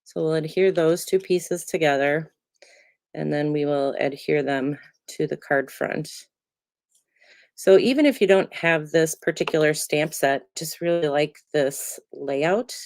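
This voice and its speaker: Woman, low voice, congested, chatty crafting tutorial, dry close sound. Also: a little slow, dry recording. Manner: chatty, relaxed, many ums, talks while working. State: congested, getting over a cold. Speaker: card-making demonstrator.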